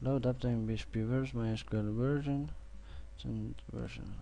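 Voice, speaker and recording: A young man with an Estonian accent, talking through a coding tutorial at his computer in a halting way, full of fillers and restarts. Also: medium pitch, fairly even intonation, gravelly, mellow. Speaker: programming tutor. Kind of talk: screen-recorded coding tutorial. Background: keyboard typing and clicks.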